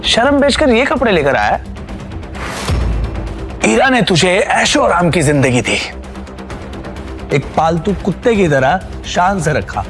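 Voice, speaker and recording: High-pitched, sneering rich bully. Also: male voice, sing-song, measured pace, pauses often, over music. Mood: mocking. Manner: taunting, theatrical. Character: arrogant, sneering, bullying rich young rival. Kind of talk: Hindi audio drama acting.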